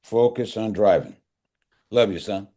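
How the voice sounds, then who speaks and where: A man acting a devoted, grieving older dad in a script reading. His voice is low and mellow, anguished at heart yet steady and reassuring. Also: measured pace. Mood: warm, reassuring. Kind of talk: live screenplay table read.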